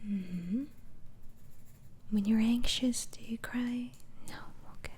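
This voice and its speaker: A woman plays a gentle female doctor interviewing a patient in a whispered ASMR roleplay. The whisper is soft, mellow and unhurried, with hesitant, murmured follow-ups. A faint noise sits behind the voice. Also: fairly high pitch. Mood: soft, inquisitive. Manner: halting, hesitant, murmuring acknowledgements.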